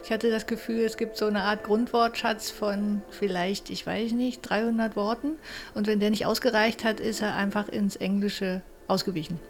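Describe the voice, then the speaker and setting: A middle-aged woman, a lecturer, recalling things in a spontaneous interview in an office, halting as she thinks aloud. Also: mid-range voice, fairly even intonation, slight background noise.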